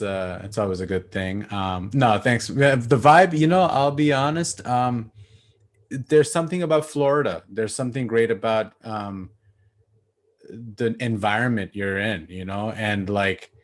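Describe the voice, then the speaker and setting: A man from Bangladesh in his late thirties with a fairly low voice, talking loosely as a podcast guest. He fills his talk with lots of likes and you-knows and laughs easily. He sounds like he is on a video call. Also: unhurried. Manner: relaxed, laughing, lots of fillers. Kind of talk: casual interview chat.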